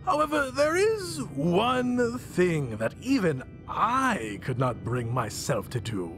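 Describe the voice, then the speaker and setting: An actor playing a flamboyant, ancient mastermind villain in a video game, pompous, grandiose and mock-polite with theatrical delivery. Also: male voice, sits high, huge pitch swings, takes it slowly, a bit bright, faint music behind, exaggerated voice. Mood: sinister musing.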